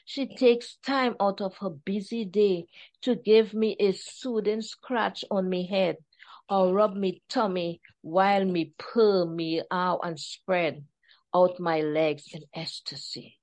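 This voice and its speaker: A woman's voice: mid-range voice, steady tone, takes it slowly, a little mellow, close to an everyday voice. A woman voicing a pampered house cat that speaks with a Jamaican accent and tells its tale in a chatty, self-satisfied way, read from her own storybook. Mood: contented.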